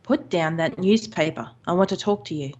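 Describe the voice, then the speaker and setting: An actress playing a demanding, jealous middle-aged wife in an old-time radio drama, with an imperious, scolding manner. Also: female voice, lowish voice, normal speed, darkish tone, muffled recording, close to an everyday voice.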